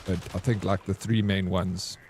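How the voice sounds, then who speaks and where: A South African man, a gaming streamer, chatting casually and haltingly with viewers while he plays. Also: deep voice, flat delivery, background noise. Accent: South African English. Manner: rambling and hesitant, full of ums and restarts.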